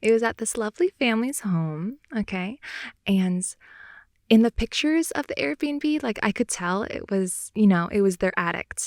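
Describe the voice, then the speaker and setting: A woman's voice: medium pitch, lively intonation, moderate pace, dry recording. A woman improvising intimate girlfriend-style ASMR, telling personal stories in a casual, hesitant ramble close to the mic. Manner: hesitant, rambling, filler-heavy casual speech. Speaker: ASMR audio performer. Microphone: close, dry studio-style mic.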